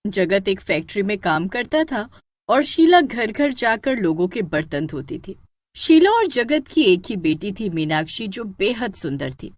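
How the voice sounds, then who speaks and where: A woman narrating a scripted cartoon horror story as a storyteller, in an expressive storybook manner. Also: mid-range voice, unhurried, dry recording.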